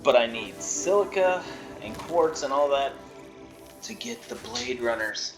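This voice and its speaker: A man's voice: mid-range voice, animated, unhurried, music in the background. A man giving casual, chatty commentary while he plays a video game, laughing often. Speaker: gameplay creator.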